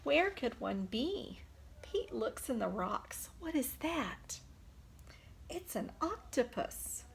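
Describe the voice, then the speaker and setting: Lady teacher doing storytime for little kids, really animated, bit creaky, faint noise behind her. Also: high-pitched, takes it slowly. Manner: expressive, simple questions addressed to young children. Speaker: preschool teacher reading a picture book aloud. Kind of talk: children's storytime read-aloud.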